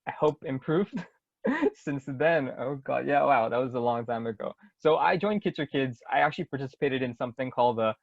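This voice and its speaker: A man's voice: medium pitch, animated, a little fast, gravelly, no room sound. Young man from Hong Kong, friendly, laughs easily, video call, dull dry lo-fi audio. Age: 20s. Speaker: guest speaker.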